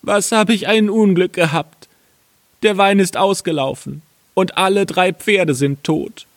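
A man's voice: mid-range voice, very animated, no room sound, full character voice. A man voicing a hapless, stubborn wagon driver who laments in a fairy tale. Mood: upset, complaining. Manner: loud, drawn-out lamenting. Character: hapless, wailing male wagon driver, hot-tempered and stubborn.

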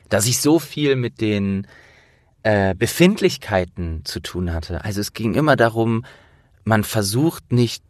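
A man's voice: mid-range voice, dry recording, studio quality. A man in casual, opinionated conversation, speaking earnestly and emphatically in colloquial language with some swearing. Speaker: interviewer and audio drama producer with acting training.

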